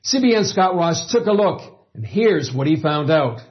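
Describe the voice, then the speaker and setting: A man's voice: a little high, very animated, moderate pace, muffled recording. Man reading a news intro. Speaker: news anchor.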